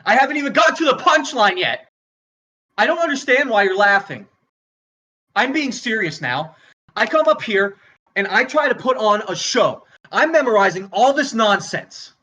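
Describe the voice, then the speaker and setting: A man acts out a struggling stand-up comic with a high, bright voice. He stammers and halts through his act, flustered and exasperated, a volatile performer on a short fuse in a dramatic script read. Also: expressive, a little slow, pauses often, heavily acted. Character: flustered, volatile failing male stand-up comedian. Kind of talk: dramatic screenplay table read, stand-up monologue.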